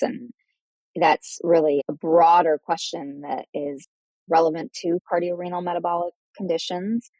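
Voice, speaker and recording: A woman's voice: low voice, warm tone, dry recording, low-quality recording. A woman speaking as a podcast host in a formal medical interview.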